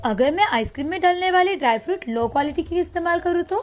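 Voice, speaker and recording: A woman voicing an ambitious, greedy, insistent wife in an animated moral story. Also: extremely high pitch, fast, faint music behind, pitched above the performer's own voice. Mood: boastful. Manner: insistent, complaining. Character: ambitious, greedy, nagging young wife selling ice cream.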